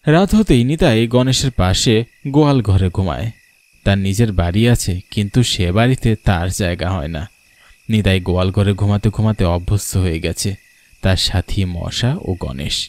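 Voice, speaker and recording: A man's voice: sits low, normal speed, a little mellow. A man narrating a scripted horror cartoon story. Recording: faint background music. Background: faint music.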